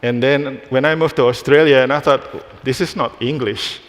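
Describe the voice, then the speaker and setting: A man's voice: medium pitch, fairly even intonation. A man from Indonesia giving a live technical presentation on a conference stage, restarting phrases as he works through a demo. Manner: halting, restarts phrases while demoing. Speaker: conference speaker. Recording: hall reverb.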